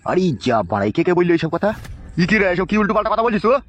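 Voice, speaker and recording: Man doing an irritable, fast-talking village father. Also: sits high, big pitch swings, very noisy. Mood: defensive anger. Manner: blunt, scolding. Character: gruff, irritable, foul-mouthed middle-aged village father. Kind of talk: bawdy cartoon comedy acting. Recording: dull lo-fi cartoon audio.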